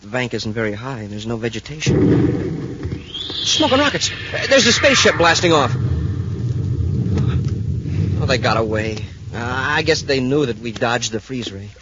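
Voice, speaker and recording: An actor playing an eager, boyish space cadet sidekick, earnest and deferential, in a 1950s radio adventure serial. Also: male voice, mid-range voice, very animated, moderate pace, long pauses, close to an everyday voice. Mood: excited, dismayed. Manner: earnest, wide-eyed, deferential. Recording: dull, noisy 1950s radio recording.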